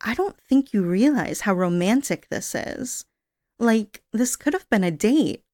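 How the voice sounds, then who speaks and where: A woman voicing an unstable, obsessive young woman in an audio roleplay, speaking in a breathy, sing-song coo that turns menacing. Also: mid-range voice, expressive, measured pace, darkish tone, no room sound, clean studio sound, close to an everyday voice. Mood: sweet creepy.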